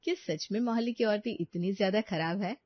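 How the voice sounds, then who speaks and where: A woman's voice: mid-range voice, brisk, no room sound, lo-fi sound. A woman reading scripted narration for a story as its narrator.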